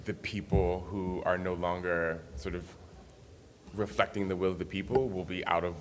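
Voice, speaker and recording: A man on a panel, speaking in a measured way with some fillers. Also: low voice, level tone, slight background noise. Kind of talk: panel discussion answer. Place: conference hall. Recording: reverberant hall with faint ambience.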